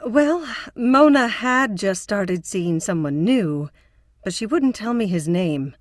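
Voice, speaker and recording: A woman's voice: medium pitch, animated, slow, dry recording, clean studio sound. A woman playing an earnest, principled psychotherapist with a prim, concerned manner in an audio drama. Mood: guarded.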